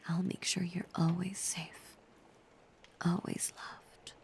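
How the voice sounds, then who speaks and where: A woman voicing a possessive, obsessively loving female werewolf in an intimate, breathy, softly menacing ASMR roleplay. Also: on the low side, flat delivery, slow. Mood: coaxing, possessive. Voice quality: breathy, whispery.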